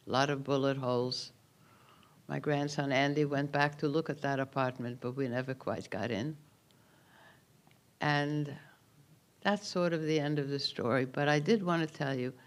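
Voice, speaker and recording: Man, 80s, Hungarian accent; memorial keynote testimony, calm, dry understatement. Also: fairly high pitch, creaky. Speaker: Holocaust survivor giving a keynote. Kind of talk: personal testimony speech at a memorial ceremony.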